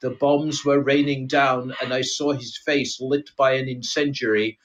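A man's voice: medium pitch, level tone, a bit bright, dull audio, slight background noise, voice pushed down, natural voice. Man putting on a rambling retired Russian KGB colonel. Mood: wistful, earnest. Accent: mock Russian, sometimes slipping into Scottish. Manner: halting, rambling, broken English with dropped articles. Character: retired Russian KGB lieutenant colonel, sleepy, nostalgic, comic. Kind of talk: improvised comic role-play.

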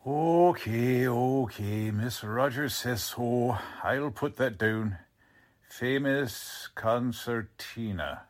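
A man's voice: medium pitch, drawn-out words. A man playing a blunt, sarcastic police lieutenant in the style of a 1940s radio drama. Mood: sarcastic, questioning. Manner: blunt, mocking, slangy.

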